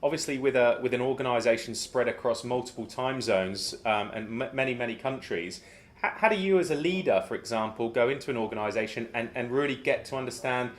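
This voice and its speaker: A man's voice: mid-range voice, a little fast. A man hosting a podcast interview, encouraging and given to repeating words as he asks questions. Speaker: podcast host.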